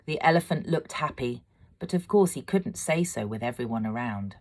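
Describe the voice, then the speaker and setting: A woman reading a children's story aloud as an expressive narrator. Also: very deep voice, normal speed. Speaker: amateur storybook reader.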